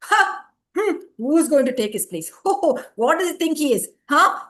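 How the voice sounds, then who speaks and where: A woman's voice: high voice, fairly even intonation, quick pace, a bit bright, voice pushed up. A woman storyteller voicing a suspicious, mocking town crowd. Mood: scoffing. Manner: mocking, scoffing.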